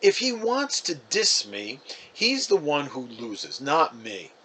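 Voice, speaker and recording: A man's voice: on the high side, wildly swooping pitch, takes it slowly, bright, forceful tone, husky, heavily acted, low-quality recording. A man reading a storybook as a chatty, wounded, defensive fifth-grade boy, casual and emphatic. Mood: defensive, resigned.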